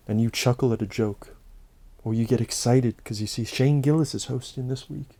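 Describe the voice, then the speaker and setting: A man's voice: medium pitch, dark tone, dry recording. A man narrating a scripted, satirical story in a solemn, dramatic way with emphatic phrasing. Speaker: host and narrator of a Halloween special.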